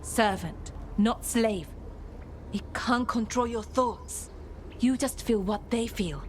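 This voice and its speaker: A woman's voice: a little high, slow, a little hiss or hum. A woman playing a blunt, resolute and knowledgeable elven scout in a fantasy game, with a matter-of-fact delivery. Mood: firm, explanatory.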